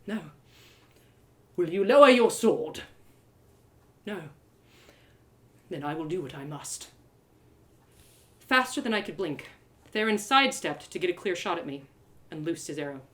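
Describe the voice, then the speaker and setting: A woman storyteller narrating a fantasy tale in the first person, with an expressive, theatrical delivery. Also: fairly low pitch. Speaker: storyteller, live reader of a fantasy novel.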